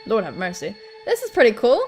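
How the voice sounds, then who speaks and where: A woman hosts a gaming video in a high, very animated voice. Her commentary is casual and playful with some swearing, and music runs behind it. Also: a little fast. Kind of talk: casual let's play commentary, reading game text aloud.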